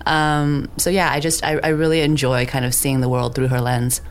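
A woman. She is a stage actor speaking as an interview guest, thoughtful and measured in how she talks. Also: rumbling low, completely flat delivery. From California Bay Area, born in Hong Kong. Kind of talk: casual interview chat. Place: radio studio.

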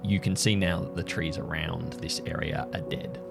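A man narrating an improvised scene as game master, halting as he builds the atmosphere in descriptive detail. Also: deep voice, fairly even intonation, vocal fry. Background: music under the voice.

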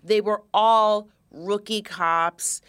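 A woman's voice: medium pitch, drawn-out words, clean tone. A woman with a young-sounding voice co-hosting a podcast, telling stories in a playful, mock-indignant way. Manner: animated storyteller, playfully indignant. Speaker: podcast co-host. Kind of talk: casual chat.

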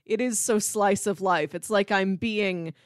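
A young adult woman talks in a casual, conversational way as co-host of a podcast. Her voice sits at a middle pitch. The sound is very dry, with hardly any room around it. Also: measured pace, clean tone, no room sound. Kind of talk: casual chat about television.

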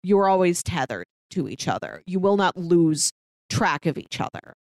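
A woman co-hosting a film discussion podcast, talking casually and reflectively with halting phrasing. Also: fairly low pitch, very animated, darkish tone, no echo, clean studio sound. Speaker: podcast co-host reviewing films.

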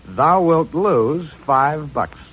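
An actor playing a gruff, wisecracking private detective with brisk, wry delivery in a 1940s radio drama. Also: male voice, fairly high pitch, animated, a bit bright, a little hiss or hum, full character voice. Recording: muffled old radio broadcast.

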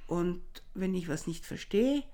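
A woman's voice: fairly low pitch, animated, measured pace, dark tone. A woman with a Viennese accent, a phone sales representative answering podcast questions and often checking in with "ja?". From Austria. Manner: explains with practical examples, often checks in with "ja?". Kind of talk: podcast interview.

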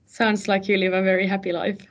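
A woman's voice: mid-range voice, level tone, a little fast. A woman hosting an interview podcast and putting questions to her guest.

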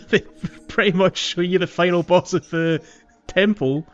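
A man's voice: high voice, measured pace, fairly bright tone, smooth, highs cut off. A man co-hosting a podcast, bantering casually about a video game. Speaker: podcast co-host.

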